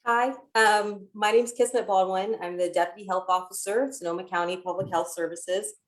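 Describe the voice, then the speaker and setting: A woman's voice: mid-range voice, fairly even intonation, fairly quick. A woman from Ohio with an American accent, a public health doctor speaking informally as a panelist. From Ohio, United States. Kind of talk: informal self-introduction on a panel.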